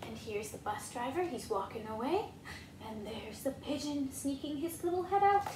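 High, animated young woman reading to children. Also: normal speed. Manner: exaggerated, encouraging, asking listeners questions. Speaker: storyteller. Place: living room, indoors. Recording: roomy home recording, some noise.

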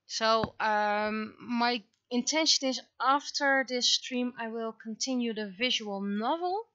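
A woman's voice: fairly high pitch, takes it slowly, sharp tone, no echo, dull audio. A woman chatting casually on a livestream while she works, rambling and interrupting herself in a scattered way. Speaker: livestreamer, webcomic artist. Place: dry indoor room, livestream setup.

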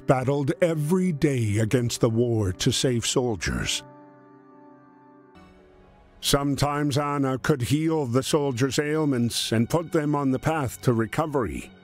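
Slow, expressive game narrator. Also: male voice, medium pitch. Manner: measured, expressive, grave. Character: solemn storyteller of a wartime adventure game. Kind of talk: scripted dramatic storytelling narration. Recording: music under the voice.

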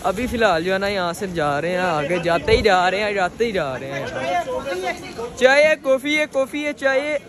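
Excitable young man. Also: high-pitched, moderate pace. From Pakistan. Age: young adult. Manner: chatty, excitable, talks while walking. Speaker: vlogger. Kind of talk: casual travel vlog. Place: busy snowy hill-town street outdoors. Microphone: phone held at arm's length. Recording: loud crowd noise outdoors. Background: crowd chatter.

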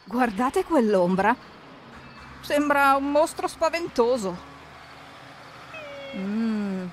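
A woman's voice: fairly high pitch, lively intonation, drawn-out words, a little hiss or hum. A woman narrating a children's cartoon, expressively asking questions and talking straight to the characters.

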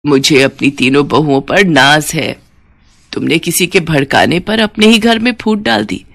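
A woman voicing a caring, sensible elderly mother-in-law in a cartoon story, speaking in a measured way. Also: deep voice, vocal fry, a bit bright, exaggerated voice. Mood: proud.

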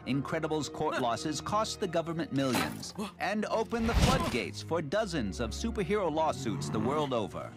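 An actor playing a dramatic television news reporter delivering scripted newsreel narration in an animated film. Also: male voice, on the high side, normal speed, few pauses. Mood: grave, dramatic. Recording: loud music behind.

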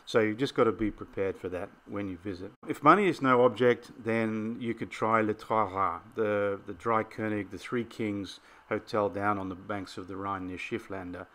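A man's voice: on the low side, fairly even intonation, normal speed, few pauses, pushed and bright. A middle-aged Australian man hosting a travel vlog, casually giving practical tips.

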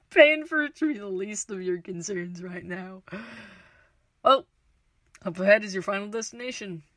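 Easygoing, dryly joking guard. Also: female voice, mid-range voice, animated, a little slow, long pauses, fairly bright tone, no room sound, studio quality. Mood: reassuring. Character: wry, loyal, reassuring female palace guard. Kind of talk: fantasy audio drama acting.

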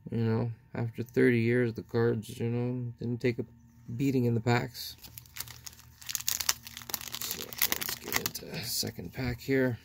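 A man with a gravelly, fry-edged voice talks slowly while opening trading card packs, reading each player name aloud. His tone is unhurried and conversational. A faint hum sits behind him in an indoor room. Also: medium pitch, flat delivery. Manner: unhurried, reads out player names one by one. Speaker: card-opening channel host. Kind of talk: casual pack-opening commentary. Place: indoors at a table, hands on camera only.

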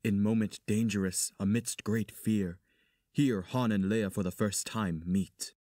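A solemn stage chorus, performed by a man, telling the tale in verse. The voice is on the low side, with a dry sound. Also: steady tone, a little slow, mellow, dry recording, natural voice. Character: solemn male theatrical chorus storyteller. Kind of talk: amateur dramatic reading in Shakespearean verse.

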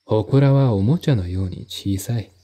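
Slow, mellow male narrator. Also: fairly low pitch, husky. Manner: measured, deliberate, formal. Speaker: narrator, solo audiobook reader. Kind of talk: dramatic literary narration.

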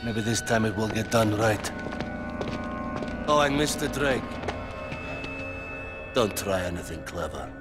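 An actor playing a ruthless middle-aged warlord in a video game, with a cold, theatrical, menacing way of speechifying. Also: male voice, lowish voice, expressive, a little slow, long pauses, loud music behind the voice. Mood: grimly gloating. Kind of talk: dramatic video game acting.

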